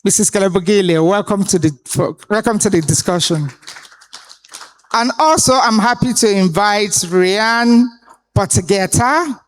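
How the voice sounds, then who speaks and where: Female panel moderator, measured and authoritative, at a conference. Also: medium pitch. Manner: deliberate, authoritative delivery with pauses. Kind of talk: introducing panelists at a live conference. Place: conference hall stage.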